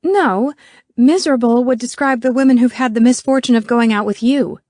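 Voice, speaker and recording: A woman's voice: mid-range voice, normal speed, no echo, studio quality, lightly acted. A woman voicing an indignant, argumentative female teacher firing emphatic retorts in an audiobook. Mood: combative, scornful.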